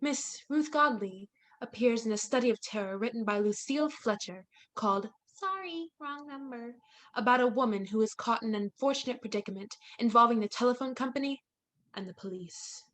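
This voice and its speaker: A woman's voice: fairly high pitch, a little fast, a bit bright, close to an everyday voice. A woman playing an eerie, imperious hostess introducing a 1940s radio thriller, theatrical and menacing. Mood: ominous.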